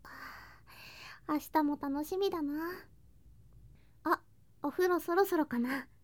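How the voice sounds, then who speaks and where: A woman playing an affectionate, playful younger girl cousin in an intimate ASMR voice drama, speaking softly and coaxingly and slipping into whispers. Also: high voice, takes it slowly, no echo. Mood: cheerful, pottering. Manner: soft, sweet, coaxing, drifting into whispers and singsong. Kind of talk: ASMR situation voice drama, intimate roleplay to listener.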